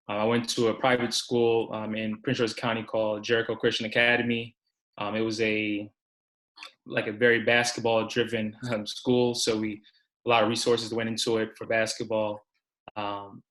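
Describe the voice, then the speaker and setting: A young man from Maryland, a basketball coach answering interview questions over a video call, relaxed with many ums. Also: medium pitch, low-quality recording.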